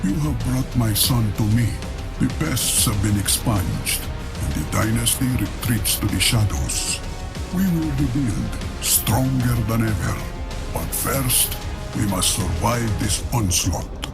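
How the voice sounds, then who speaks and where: Ruthless older warlord, proud, scheming, creaky voice, measured dramatic game monologue. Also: male voice, on the low side, lively intonation, over loud music, exaggerated voice. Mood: grave, calculating. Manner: measured, grave, dramatic delivery. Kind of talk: scripted game cutscene monologue.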